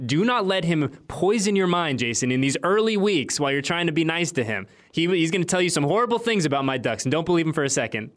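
A man's voice: on the high side, very animated, fast talker, hardly any pauses, fairly bright tone. A man hosting a sports interview, bantering casually in a playful, teasing manner. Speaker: sports show host, interviewer.

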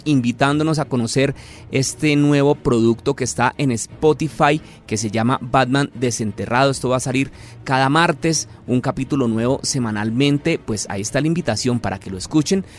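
A man hosting a conversational podcast interview, chatty and politely formal in his address. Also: mid-range voice.

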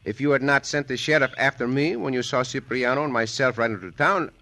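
An actor playing a gallant, roguish Mexican cowboy hero in an old radio western, with a Mexican accent and a courtly, teasing manner. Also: male voice, medium pitch, a little fast. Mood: tender, teasing. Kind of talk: 1950s radio western acting. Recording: noisy, bright old radio recording.

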